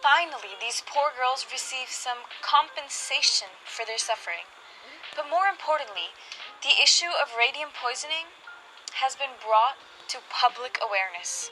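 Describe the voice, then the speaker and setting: Young woman as an earnest, high-voiced activist. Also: lively intonation, normal speed, pushed and bright, soft background music, low-quality recording. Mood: earnest, firm. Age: teenager. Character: earnest, assertive female 1920s consumer activist. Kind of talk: radio drama acting.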